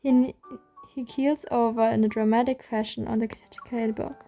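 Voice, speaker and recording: A woman reading a game's story aloud in a steady first-person voice. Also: a little high, normal speed, soft background music. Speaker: reader.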